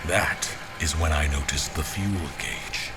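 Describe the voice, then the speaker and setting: A man's voice: a bass voice, slow, very noisy. An actor playing a formal male officer who recounts his memory in scripted, literary dramatic narration. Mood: grave, reflective.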